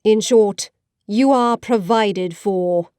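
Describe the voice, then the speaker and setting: An actress plays a severe spinster in an audiobook drama. She speaks very slowly in a dry, close sound, pressing each disapproving word home with unbending harshness. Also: medium pitch, dark tone, smooth, dry recording, close to an everyday voice. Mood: curt, disapproving.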